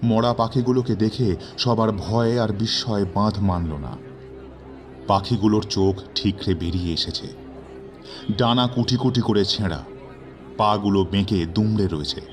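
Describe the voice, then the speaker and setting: A man narrating a horror story in a dramatic, suspenseful way with measured, theatrical phrasing. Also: on the low side. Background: background music.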